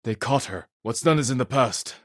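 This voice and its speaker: An actor playing a solemn, resolute samurai warrior in a video game, speaking in a measured, earnest, formal way. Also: male voice, mid-range voice, fairly bright tone, no room sound, studio quality, close to an everyday voice. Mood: urgent, firm. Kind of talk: dramatic video game voice acting.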